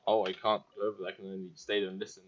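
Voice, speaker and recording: A man's voice: lowish voice, flat delivery, rapid-fire, lo-fi sound, faint music behind. A man giving casual let's play commentary as a gaming YouTuber.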